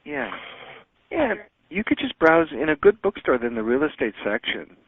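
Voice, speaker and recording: A man's voice: on the high side, sing-song, normal speed, dry recording. A man hosting a teleconference, chatting casually and rambling with many fillers and restarts. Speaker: teleconference host answering callers. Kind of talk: casual phone chat. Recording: phone-line audio.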